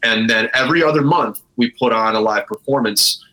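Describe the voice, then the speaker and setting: Man, mid-pitched, bright and punchy voice, enthusiastic, emphatic, conversational interview guest. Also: fairly quick, low-quality recording. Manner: enthusiastic, emphatic, swears freely; self-described nerdy. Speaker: guest, brewery co-owner. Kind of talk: casual interview chat.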